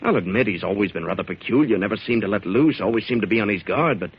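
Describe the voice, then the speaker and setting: A man's voice: lowish voice, animated, quick pace, breathy edge. An actor playing a soothing, evasive young man in 1940s American radio drama, placating and hesitant. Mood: musing. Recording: muffled 1940s radio recording.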